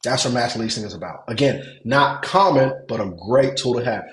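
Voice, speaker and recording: A man's voice: mid-range voice, brisk. A man from Nigeria coaching a webinar on hotel investing, repeating words for emphasis and checking that listeners follow. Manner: repeats words for emphasis, asks if it makes sense. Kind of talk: webinar teaching. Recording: echoey room.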